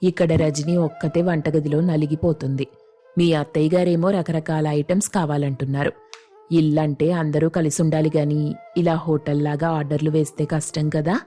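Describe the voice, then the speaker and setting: A woman playing a concerned, protective older mother-in-law in a family story, gently reproachful. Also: sits low, level tone, moderate pace, soft background music.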